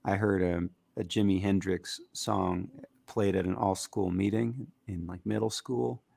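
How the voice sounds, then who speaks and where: A man with a raspy, gritty voice, talking casually as a musician guest in an interview, rambling with fillers. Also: low voice, unhurried, dry recording. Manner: relaxed, rambling with fillers and restarts.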